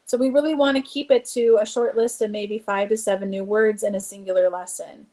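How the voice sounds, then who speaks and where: A woman's voice: mid-range voice, steady tone, a little fast, mellow. A woman giving an online teacher training presentation on classroom activities, speaking casually with frequent fillers.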